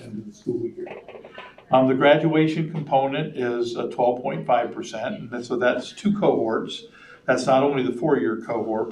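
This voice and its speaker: A school superintendent, a man, presenting a report at a board meeting in a conversational way with many ums, his voice slightly hoarse. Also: mid-range voice, a little hiss or hum. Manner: conversational, with frequent ums and uhs. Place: public meeting room. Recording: roomy meeting-room audio from a distant mic.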